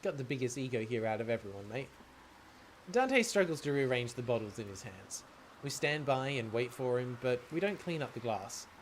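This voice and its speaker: Animated male game streamer reading aloud. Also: medium pitch, normal speed, a little hiss or hum. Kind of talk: reading visual novel narration aloud with casual commentary. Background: music.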